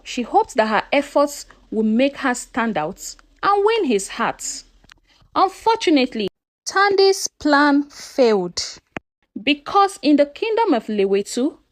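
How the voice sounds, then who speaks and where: High, animated woman telling a folktale. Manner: dramatic storytelling with rhetorical questions to viewers. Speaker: storyteller and channel narrator. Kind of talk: scripted folktale narration.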